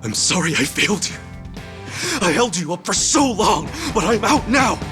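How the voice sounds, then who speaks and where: A man playing a loyal, hot-headed yakuza ex-convict in a video game drama, with emotional, rough street talk. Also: high voice, lively intonation, moderate pace, music in the background. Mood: anguished, remorseful.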